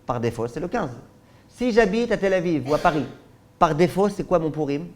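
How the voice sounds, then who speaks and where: A man's voice: fairly high pitch, animated, a little fast. Man explaining with rhetorical questions. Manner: frequent checks with listeners ("d'accord?"). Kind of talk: spoken Torah lesson.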